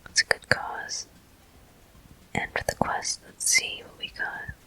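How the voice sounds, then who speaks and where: A person whispering soft, breathy, unhurried commentary in short phrases while playing a video game for ASMR viewers. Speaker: video game player and host.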